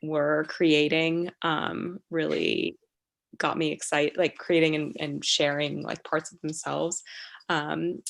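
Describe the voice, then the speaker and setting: A young woman from China speaks with an American accent in a low, gravelly register. She talks casually and haltingly, full of fillers. The sound is a video call. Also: little pitch movement. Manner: hesitant, many ums, laughs easily. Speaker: musician guest.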